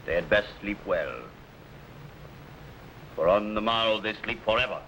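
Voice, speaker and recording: An actor playing a ruthless, boastful barbarian warlord in a 1950s TV serial, speaking with grand, menacing pauses. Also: male voice, fairly low pitch, sharp tone, a little hiss or hum, poor audio.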